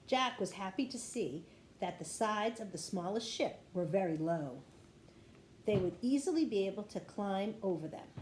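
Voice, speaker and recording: A woman reading a children's chapter book aloud in an expressive storytelling style. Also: mid-range voice, moderate pace. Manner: animated storytelling with acted dialogue. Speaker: teacher reading aloud to kindergarteners. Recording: roomy echo with light background noise.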